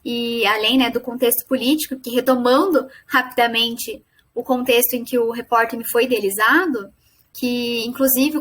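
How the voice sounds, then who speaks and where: A young woman with a Brazilian accent giving an academic presentation as a student, explaining in a halting way and correcting herself. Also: a little high, fairly bright tone, slight background noise. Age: young adult. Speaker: student presenting a final project.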